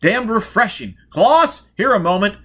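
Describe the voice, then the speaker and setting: Casting: pompous society gentleman acted by a man narrator, blustering, high and animated, storybook reading. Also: measured pace, breathy edge, heavily acted. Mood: amused bluster. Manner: pompous, exclaiming. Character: blustering, pompous male aristocrat, astonished and amused.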